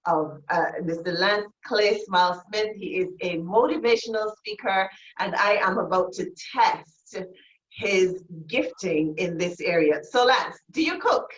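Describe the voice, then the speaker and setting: A woman from Barbados hosting an interview. She talks in an effusive, animated way and gives big praise. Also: mid-range voice, unhurried. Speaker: interview host. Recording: echoey, dull sound.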